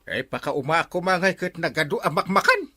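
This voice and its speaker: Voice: medium pitch, brisk, pushed and bright, no echo. A performer playing a jolly, carefree village captain in an Ilocano comedy drama, exclaiming and chuckling. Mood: carefree, defensive.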